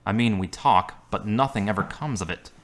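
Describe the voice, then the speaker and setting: A guarded young man, as a man acts him out, speaking flat and terse in a lowish voice. His replies come short and blunt, holding people at arm's length. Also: normal speed, lightly acted.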